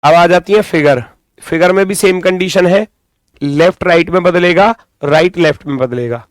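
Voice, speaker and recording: High-pitched male teacher with a strained throat. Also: moderate pace, no echo. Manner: informal, directive, repeats phrases for emphasis. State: strained throat, recovering from lost voice. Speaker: reasoning teacher for exam coaching. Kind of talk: live class lesson, thinking aloud.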